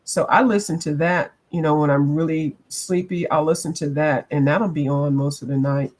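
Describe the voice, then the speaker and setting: A woman's voice: low-pitched, fairly even intonation, moderate pace, darkish tone. A woman from the eastern United States chatting casually with live viewers while she colors, reading out their comments and laughing often. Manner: laughs often, playful, drifts between accents. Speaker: coloring livestream host.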